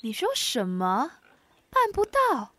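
A woman's voice: high voice, huge pitch swings, slow pace, fairly bright tone, no room sound, exaggerated voice. A woman voicing a haughty, menacing old witch in an audio drama. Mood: incredulous, stern.

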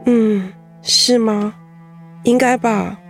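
Gentle, slightly high-voiced young wife. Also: female voice, steady tone, extremely slow, faint music behind. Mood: uneasy. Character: gentle young wife, cancer survivor, putting on brave face. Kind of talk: radio drama acting.

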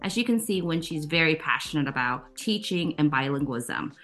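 A woman co-hosting a podcast, reading a scripted introduction of the guest in an even delivery. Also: low voice, a little fast, faint music behind. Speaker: co-host.

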